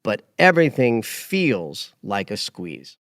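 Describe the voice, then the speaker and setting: A man in his late fifties hosting an astrology podcast, talking casually and expressively, rising to emphatic highs and dropping into quiet asides. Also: sing-song, takes it slowly, no echo. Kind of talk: casual conversational chat.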